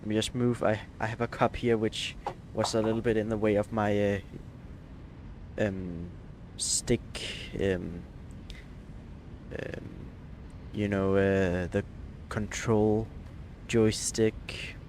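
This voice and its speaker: A man's voice: on the low side, little pitch movement, takes it slowly, background noise. A man from Denmark, chatting hesitantly with many fillers while streaming a flight simulator. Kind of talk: live stream gameplay chat.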